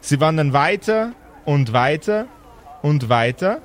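A man narrating an improvised pen and paper story as game master, theatrical and pausing for effect. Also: on the high side, expressive, slight background noise.

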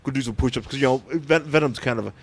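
A man's voice: medium pitch, very fast. A man co-hosting a casual comic book podcast, stammering into jokey asides. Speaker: podcast co-host.

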